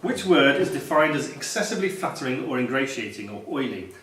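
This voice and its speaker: A man's voice: mid-range voice, very animated, fairly quick, a bit bright. A man acting as quizmaster, reading out questions to contestants. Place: echoey indoor room. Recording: echoey room, distant mic, noisy.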